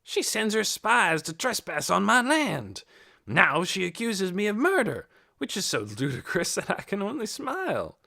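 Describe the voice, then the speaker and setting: A man's voice: high voice, wildly swooping pitch, measured pace, creaky, sharp tone, voice pushed up, exaggerated voice. A reader voicing a tall, stooped, pompous man who speaks formally and with indignation in an audiobook. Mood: haughty. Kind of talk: audiobook character voice.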